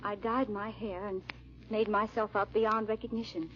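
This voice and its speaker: A woman's voice: medium pitch, level tone, normal speed, lightly acted. An actress playing a frightened young noblewoman on the run, who also narrates her tale, in melodramatic, formal 1940s radio drama style. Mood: grave narrating. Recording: muffled vintage radio recording.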